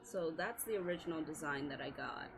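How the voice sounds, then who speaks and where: A woman's voice: low-pitched, fairly quick, vocal fry, over music. A woman streaming live with a scratchy voice, chatting casually, apologizing often, swearing and laughing a lot.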